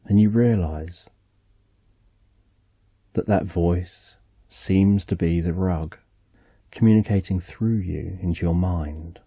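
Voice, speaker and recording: A man narrating a slow, soothing guided relaxation story in the second person, speaking softly and gently. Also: deep voice, gravelly, breathy edge, no echo.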